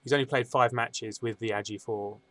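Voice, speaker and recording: A man's voice: medium pitch, fairly even intonation, brisk, a bit bright, dry recording. A man co-hosting a casual stream about a fantasy sports board game league, chatty and jokey with many restarts. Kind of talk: casual chat commentary.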